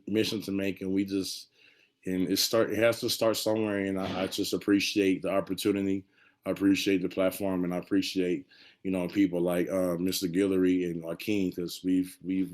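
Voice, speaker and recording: A man speaking unscripted on a panel, reflecting haltingly and often repeating and rephrasing his words. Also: low-pitched, a little mellow. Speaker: panel participant and storyteller.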